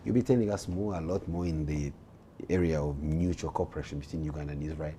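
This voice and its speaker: A male talk show host asking lively, probing questions in a playful, theatrical manner. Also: extremely low pitch, fast talker, a little hiss or hum.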